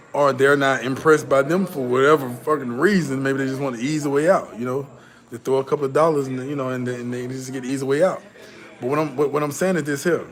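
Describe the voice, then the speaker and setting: A man holding forth in a loose vlog monologue, speaking in a mid-pitched voice. He talks bluntly with cursing and lots of "you know," swinging from loud, pushed emphasis to low, muttered asides. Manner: blunt, profane, repetitive fillers. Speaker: vlogger. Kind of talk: casual commentary to camera.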